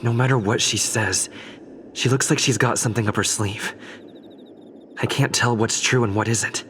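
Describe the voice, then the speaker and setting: A man's voice: mid-range voice, fairly even intonation, normal speed, fairly bright tone, a little hiss or hum, close to an everyday voice. An actor playing a wary, puzzled young male protagonist in a video game, musing to himself. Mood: suspicious. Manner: musing, questioning inner monologue. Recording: music behind the voice. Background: game soundtrack music.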